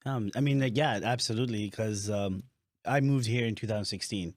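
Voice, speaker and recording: A young man hosting a podcast, relaxed and rambling, laughing easily as he talks. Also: lowish voice, brisk, no room sound, studio quality. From born in Africa, partly raised in Nepal. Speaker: podcast host. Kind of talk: casual chat.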